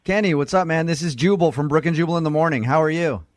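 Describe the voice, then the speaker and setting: A man's voice: a little high, fast, dry recording, clean studio sound. A man hosting a radio show with casual, joking morning banter. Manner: joking, teasing.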